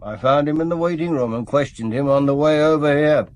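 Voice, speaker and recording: An actor playing a matter-of-fact male local police officer in a radio drama, reporting in a brisk, plain manner. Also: fairly high pitch, darkish tone, no room sound, natural voice.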